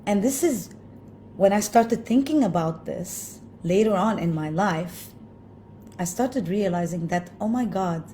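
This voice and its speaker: A woman from Lebanon telling a personal story in a reflective way, pausing often with fillers as she thinks. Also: mid-range voice, expressive, warm tone, a little hiss or hum. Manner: thoughtful, with frequent pauses and fillers. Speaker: speaker sharing personal story.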